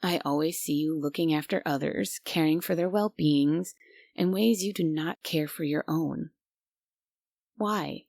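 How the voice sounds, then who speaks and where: A woman's voice: low voice, measured pace, vocal fry, darkish tone, dry recording, studio quality, heavily acted. A woman playing a strict, stubborn guardian angel who is bossy, prim and grudgingly caring, in an immersive roleplay audio drama. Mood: stern, prim, then concerned. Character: strict, stubborn but secretly kind female guardian angel.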